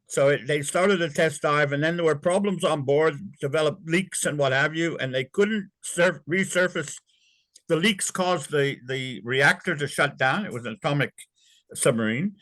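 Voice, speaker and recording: A man's voice: on the high side, fairly bright tone, no echo, poor audio. Older man, interview guest, rambling stop-start delivery, dry close sound. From born in England, raised in Canada. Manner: halting, with many fillers and restarts. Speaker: interview guest, former navy sailor. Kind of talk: casual recollection in an interview.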